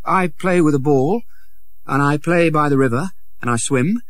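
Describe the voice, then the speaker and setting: A man's voice: moderate pace, no echo, studio quality, lightly acted. A man voicing a poor beggar boy, humble and earnest, in a raised boyish voice. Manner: high, earnest, plain.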